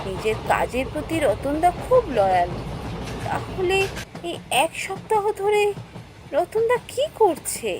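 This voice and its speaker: High, lively young woman office worker voiced by actress. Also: takes it slowly, noisy background, heavily acted. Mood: anxious. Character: earnest young female office worker narrating her own eerie story. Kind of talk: animated cartoon story acting and narration.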